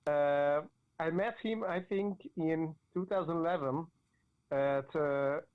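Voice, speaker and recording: A man from Hungary, a comic creator guest, speaking in a measured way with fillers. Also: a little high, clear, resonant tone, narrow, tinny audio.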